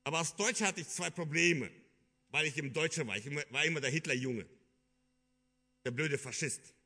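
A man from Kyrgyzstan preaching a sermon in an earnest, halting way, with many fillers and restarts. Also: a little high, bright, forceful tone.

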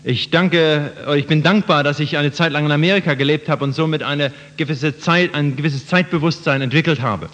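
A man's voice: a little high, fairly quick, few pauses, fairly bright tone. A man interpreting a sermon phrase by phrase for the listeners. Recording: muffled, reverberant hall.

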